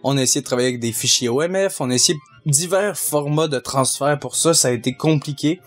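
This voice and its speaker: Young Québécois man, measured and hesitant. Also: a little high, soft background music. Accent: Québécois French. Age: young adult. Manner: measured, hesitant with many fillers. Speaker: sound mixer. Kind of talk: casual Q&A answers.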